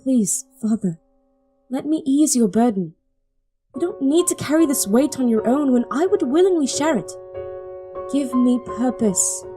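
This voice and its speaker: A woman's voice: high voice, expressive, measured pace, dark tone, faint music behind. A woman playing an earnest, devoted young princess in an audio drama, speaking articulately. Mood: earnest, pleading. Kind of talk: audio drama acting with first-person narration.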